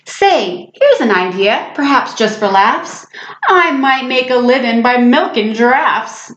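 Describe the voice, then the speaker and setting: A woman's voice: fairly high pitch, lively intonation, slow, fairly bright tone. Woman voicing an eager, boastful little schoolboy, echoey. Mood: playful, insistent. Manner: grandiose, declamatory, eager. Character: excitable, boastful schoolboy with big dreams. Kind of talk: storybook read-aloud. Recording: echoey room, slightly muffled sound.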